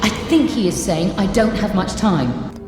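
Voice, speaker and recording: A woman's voice: mid-range voice, expressive, moderate pace, noisy background, lightly acted. A woman playing a driven, obsessive scholar in a game cutscene, intense and earnest. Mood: fervent, hopeful. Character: driven, obsessive woman scholar seeking her lost family. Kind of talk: dramatic game cutscene acting. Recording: cavernous echo, music underneath.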